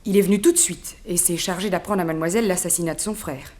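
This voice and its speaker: An actress playing a deferential household servant in a 1960s French radio drama, speaking formally and informatively. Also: female voice, on the low side, fairly even intonation, fast talker, a little mellow, natural voice. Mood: earnest reporting. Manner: formal third-person deference.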